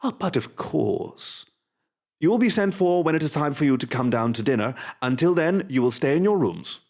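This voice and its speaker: Man playing a creaky, pompous court official pony. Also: a little high, expressive, moderate pace, a little mellow. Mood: haughty, commanding. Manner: pompous, condescending, drawling. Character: haughty scheming royal court official, a male pony. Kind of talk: fan-made radio drama acting.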